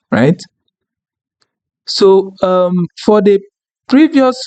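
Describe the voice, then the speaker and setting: A man whose first language is French, walking viewers through a software tutorial and checking in often with "okay?" and "right?". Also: high-pitched, no echo. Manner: explanatory, frequent "okay?" and "right?" check-ins. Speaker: tutorial instructor. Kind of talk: screen-recorded software tutorial.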